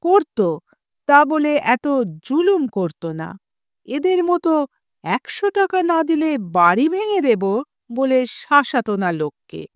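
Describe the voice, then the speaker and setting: A woman's voice: high voice, big pitch swings, takes it slowly, dry recording, studio quality, full character voice. A woman voicing an irate, self-important pot-bellied rich man in an audio story, with a huffy, indignant manner. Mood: huffy, defensive.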